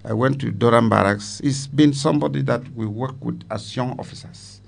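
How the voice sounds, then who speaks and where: A man's voice: medium pitch, moderate pace, creaky. An older man with a Cameroonian accent, a retired army commander, recounting his memories in an interview with emphatic repetition. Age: older, 70s or more. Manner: emphatic, repeats phrases for weight.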